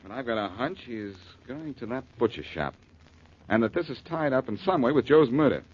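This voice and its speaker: An actor playing a confident, quick-witted amateur detective in a 1940s radio drama. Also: male voice, mid-range voice, expressive, lightly acted. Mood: brisk, shrewd. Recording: muffled, noisy 1940s radio broadcast.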